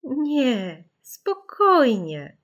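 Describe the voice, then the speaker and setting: A woman voicing a calm, caring mother who gently and patiently explains things to children in an audiobook. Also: high-pitched, drawn-out words, pitched above the performer's own voice, heavily acted. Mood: reassuring.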